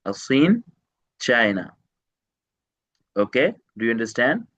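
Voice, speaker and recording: A man teaching Arabic one to one, repeating each phrase slowly, translating word by word and quizzing his student with short questions. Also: medium pitch, big pitch swings, a bit bright, dull audio. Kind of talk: one-to-one language lesson.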